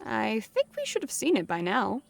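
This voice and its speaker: A naive, bubbly female adventurer in a fantasy audio drama , voiced by a woman. Her high voice leaps wildly up and down. Faint music sits behind her. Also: wildly swooping pitch, normal speed, gravelly, heavily acted. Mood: perky.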